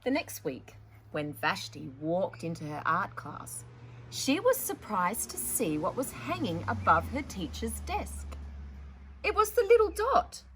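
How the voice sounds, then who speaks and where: Woman doing kids storytime, super up and down expressive, theatrical, bit slow, slight background noise. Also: mid-range voice, rough recording. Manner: theatrical, dramatic emphasis, addressing young listeners. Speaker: children's storytime presenter. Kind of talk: animated picture-book reading with chatty asides.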